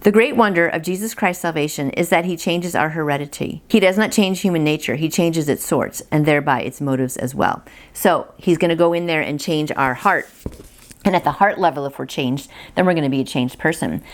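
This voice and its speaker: A woman's voice: low voice, a little fast. A woman reading a devotional in an earnest, conversational way, with casual asides. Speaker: devotional host and reader.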